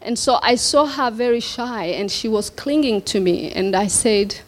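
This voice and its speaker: A woman in her forties from Somalia, giving a keynote speech about her life to an audience in a hall, reflective and full of pauses. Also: a little high. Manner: slow, reflective, with many pauses and fillers. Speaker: keynote speaker. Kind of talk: personal story speech. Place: event hall before an audience.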